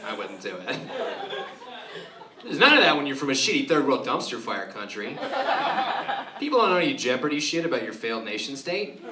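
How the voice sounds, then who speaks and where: A stand-up comedian from Romania performing a live club set, delivering dry set-ups and jumping into loud, shrill voices for his punchlines. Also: sing-song, brisk, long pauses, distant mic. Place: live club show with audience laughter. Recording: roomy club sound from afar, audible noise.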